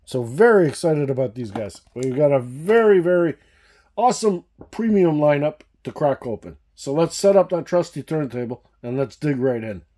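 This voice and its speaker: A man's voice: on the high side, expressive, moderate pace, dark tone. A man hosting a casual unboxing vlog, chatty and enthusiastic, with a slight cough, calling his viewers guys. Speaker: diecast collector channel host. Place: indoor room with shelves of toy cars.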